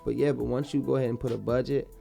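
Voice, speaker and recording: A young man speaks in a laid-back, rambling way, often circling back on his own words. His voice has a gravelly, creaky edge. Faint music plays behind him. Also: medium pitch, level tone, very fast, warm tone. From Baltimore, born in Dallas. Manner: laid-back, repeats himself a lot. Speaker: rapper guest. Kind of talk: casual interview talk.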